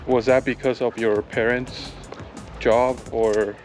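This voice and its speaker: A man's voice: medium pitch, slow, soft background music. Man casually interviewing a friend. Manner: relaxed, interjecting short follow-up questions. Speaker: interviewer and channel host.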